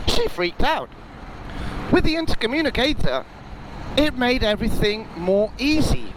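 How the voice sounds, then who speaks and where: Male vlogger, casual and emphatic, lively swooping intonation, noticeable background noise in the recording. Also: high-pitched. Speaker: motorcycle vlogger. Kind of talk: casual opinion vlog to camera.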